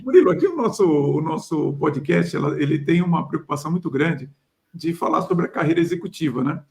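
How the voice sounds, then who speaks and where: A man's voice: a little high, lively intonation, brisk, creaky, darkish tone. A male podcast host and career coach, interviewing a guest in a chatty way with many restarts and affirmations. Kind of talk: conversational interview.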